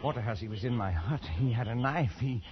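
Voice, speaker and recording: A man's voice: medium pitch, animated, fairly quick, a crowd in the background, natural voice. An actor playing a shaken, defensive colonial Englishman in a post-war radio drama, speaking in a hesitant, stammering way. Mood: frightened, shaken. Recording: dull vintage radio recording with music.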